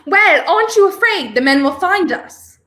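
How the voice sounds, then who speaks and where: A young woman acts out a feisty, prim young heroine of a 1930s radio thriller. The voice is very high and swoops with emphasis, recorded in an echoing room. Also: expressive, normal speed, a bit bright. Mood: indignant. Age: young adult. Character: feisty, indignant young woman, handcuffed unwilling companion. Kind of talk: 1930s radio play acting. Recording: roomy, echoing home recording.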